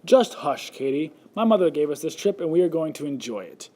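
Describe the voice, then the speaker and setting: A man's voice: on the high side, sing-song, brisk, a little mellow. A man playing a stern, protective husband in an amateur student radio play. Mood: irritated, firm. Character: John, stern, protective husband on a wilderness trip.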